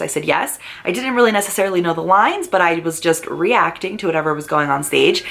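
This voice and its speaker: A woman's voice: lowish voice, fairly bright tone. Upbeat young woman explaining her notes. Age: young adult. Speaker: vlogger. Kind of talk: talking to camera, explaining prepared notes.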